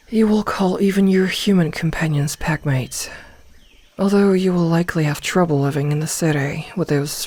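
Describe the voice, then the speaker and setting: A woman playing a gentle, motherly werewolf in a soft ASMR roleplay, soothing and reassuring as she guides a newly turned packmate. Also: deep voice, no room sound.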